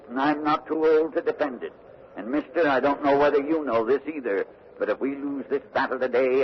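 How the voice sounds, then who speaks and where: An actor playing a feisty, terse farmer in his seventies with gruff rural speech in a 1940s radio drama. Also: fairly high pitch, natural voice. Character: feisty, terse 72-year-old farmer and war volunteer. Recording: dull vintage radio broadcast recording.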